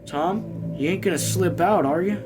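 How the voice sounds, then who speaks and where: A weary, anxious country mother of middle age, acted by a male reader, in a raised voice. She pleads earnestly in plain rural dialect, as in a novel read aloud, with music clearly playing behind. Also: sits high, normal speed, pitched above the performer's own voice, lightly acted. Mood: worried, caring.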